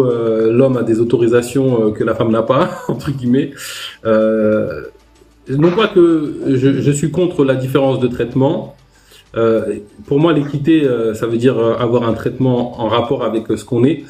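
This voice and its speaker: A man hosting a live online discussion, speaking hesitantly and reflectively, with many pauses. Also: mid-range voice, warm tone, echoey room.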